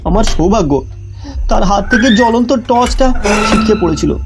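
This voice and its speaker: A man narrates a first-person ghost story in a measured storytelling voice. Clear music runs behind him, along with the chirp of night crickets. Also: high voice, darkish tone.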